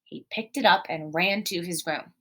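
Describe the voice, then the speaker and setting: A woman reading a storybook aloud as a teacher, expressive and explanatory, putting guiding questions to young children. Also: lowish voice, moderate pace, a little hiss or hum.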